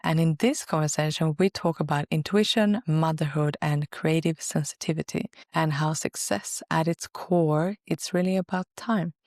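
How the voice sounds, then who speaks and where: A Swedish woman hosting a podcast, reading a scripted intro and asking gentle questions. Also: deep voice, normal speed, hardly any pauses, no room sound, studio quality. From Sweden. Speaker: podcast host. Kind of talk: podcast interview.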